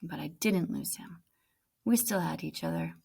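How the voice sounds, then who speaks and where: A woman, a teacher, reading a storybook aloud with expressive narration. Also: sits low, moderate pace, vocal fry, slightly rough.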